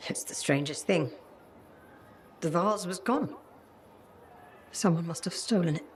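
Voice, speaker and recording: A woman's voice: on the low side, a little slow, a little hiss or hum, natural voice. An actress playing a confused, grieving middle-aged mother in a video game, wistful and hesitant. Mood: troubled. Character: fragile, confused, grieving older widowed mother. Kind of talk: video game dramatic acting.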